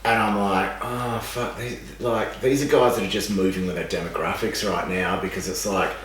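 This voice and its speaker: Raspy, low-voiced man. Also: moderate pace. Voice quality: raspy, dry. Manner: rambling, animated, swears casually. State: losing his voice, raspy. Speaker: podcast guest, exercise scientist. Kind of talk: casual podcast chat. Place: room with a seated podcast setup. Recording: echoey room, distant mic, faint noise.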